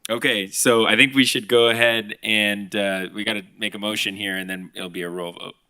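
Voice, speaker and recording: A man chairing a city board meeting, running motions and votes in a relaxed, informal way. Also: lowish voice, moderate pace.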